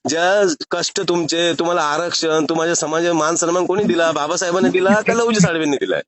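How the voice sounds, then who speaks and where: A man's voice: a little high, fairly even intonation, fast, no echo. A man in an argumentative phone conversation, speaking insistently and challenging the other side with pointed rhetorical questions. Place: phone call. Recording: recorded phone call.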